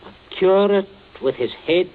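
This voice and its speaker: Domineering father, swooping high voice. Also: animated, measured pace. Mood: cold, impatient. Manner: clipped commands, falsely jovial with guests. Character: cold, domineering, scheming father of a genteel household. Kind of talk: 1940s radio suspense acting. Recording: muffled, hissy old radio broadcast.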